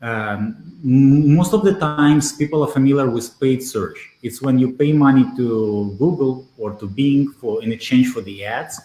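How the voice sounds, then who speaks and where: A man with a mid-range voice, answering interview questions over a video call. He talks in a steady, measured way and often pauses on "uh". The sound carries a clear roomy echo. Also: mid-range voice. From Belarus. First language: Russian. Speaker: guest, SEO consultant.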